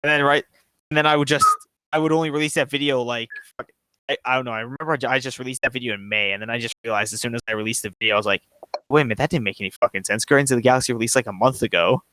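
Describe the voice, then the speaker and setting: Bright, dry-sounding joking young man. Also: mid-range voice, rapid-fire, no echo. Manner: joking, self-mocking. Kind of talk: casual chat.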